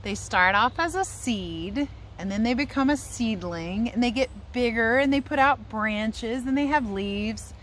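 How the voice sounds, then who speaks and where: A woman teacher giving a chatty, expressive read-aloud lesson for young children, speaking outdoors. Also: fairly high pitch, a little slow, slight background noise. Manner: chatty, expressive asides and questions to listeners. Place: outdoors in a yard.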